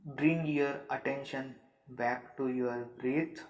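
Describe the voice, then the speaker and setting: A man leading a guided meditation with soothing, unhurried instructions and long pauses. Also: medium pitch, steady tone. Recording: roomy, echoing sound.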